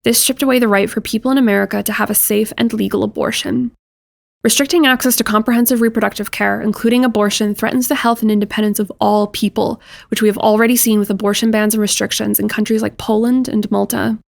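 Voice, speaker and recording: Woman from Canada, flat intonation, vocal fry, earnest, measured, scripted public appeal, close dry sound. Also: mid-range voice, dry recording. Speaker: podcast representative.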